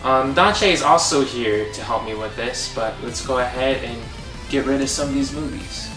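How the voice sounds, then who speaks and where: A man's voice: mid-range voice, normal speed. A young man hosting a comedic movie review, sarcastic and exasperated. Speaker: host. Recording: echoey, distant, under music.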